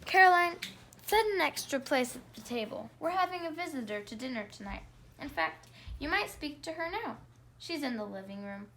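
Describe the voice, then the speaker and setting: A woman's voice: high voice, big pitch swings, moderate pace, sharp tone, exaggerated voice. A woman reading a storybook as a tired mother, polite but firm in her requests. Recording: faint background noise.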